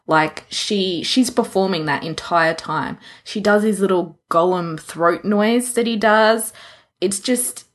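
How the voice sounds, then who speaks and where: A woman's voice: medium pitch, slow. A woman with a mid-range voice chats casually as a podcast co-host. She gives recommendations in a playful, joking manner and is prone to laughing as she talks. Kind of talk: casual chat, recommending fan fiction recordings.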